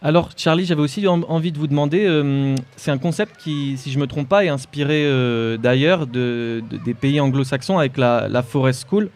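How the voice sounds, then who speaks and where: A man co-hosting a radio interview, asking long questions with halting repeats. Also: mid-range voice, darkish tone. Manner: halting, repeats words while forming questions. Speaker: radio co-host.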